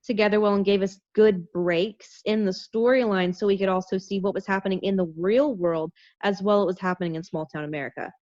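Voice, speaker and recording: A woman's voice: mid-range voice, steady tone, a little fast, few pauses, darkish tone, no echo. A woman chatting casually and emphatically, in a slangy way, on a video call. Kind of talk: casual chat. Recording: dull video-call audio.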